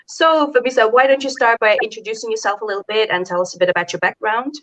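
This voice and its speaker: A woman's voice: a little high, fast talker, fairly bright tone. Chatty woman hosting a live video call. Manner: chatty, hesitant with many fillers. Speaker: host. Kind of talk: casual live video interview. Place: home, early morning.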